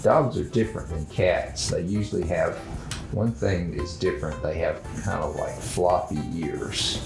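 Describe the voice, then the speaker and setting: Man, children's drawing host, gentle and halting, gravelly fry, echoey room. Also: deep voice, over music. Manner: gentle, unhurried, halting, thinking aloud. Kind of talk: casual drawing lesson for young children.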